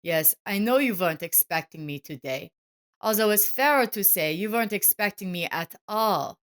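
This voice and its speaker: Woman reading as earnest soldier father, expressive. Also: medium pitch, normal speed, clean tone, close to an everyday voice. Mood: hurt, resolute. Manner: earnest, plain-spoken. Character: wounded, loving soldier father, a grown man.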